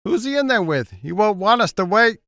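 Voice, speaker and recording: A man's voice: sits high, big pitch swings, normal speed, a bit bright, dry recording, heavily acted. A man playing a whiny, excuse-making gangster henchman in a comic noir radio drama. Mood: impatient.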